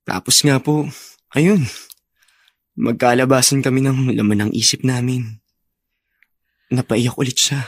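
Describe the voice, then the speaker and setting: A man playing an earnest, respectful young officer in a Filipino radio drama, polite and gentle, with a Filipino accent. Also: medium pitch, steady tone, measured pace, pauses often, no room sound. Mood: earnest, concerned. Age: young adult.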